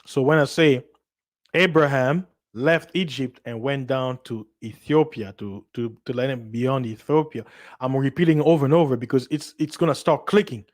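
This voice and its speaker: A man's voice: medium pitch, moderate pace. A man from Central Africa whose first language is Lingala, talking to a livestream audience in a casual, emphatic monologue full of repetition, restarts and rhetorical questions. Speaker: livestream host.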